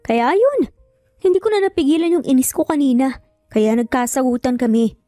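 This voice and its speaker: Woman acting a sarcastic, hurt Filipina lawyer, high voice. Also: normal speed, warm tone, no echo, studio quality, faint music behind, close to an everyday voice. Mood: exasperated. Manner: sarcastic, reproachful. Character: exasperated young mother, a proud woman lawyer.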